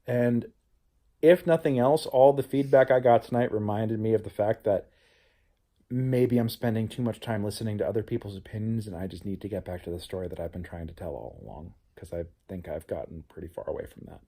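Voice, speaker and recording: A man's voice: lowish voice, a little fast, warm tone. A man talking reflectively in a personal vlog as a playwright, earnest and introspective. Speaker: playwright, show host.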